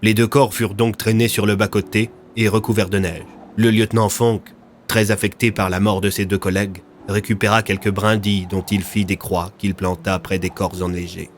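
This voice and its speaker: Man narrating a story, lowish voice. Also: level tone, normal speed, a little hiss or hum. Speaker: narrator of an audio fiction series. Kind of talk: scripted dramatic narration.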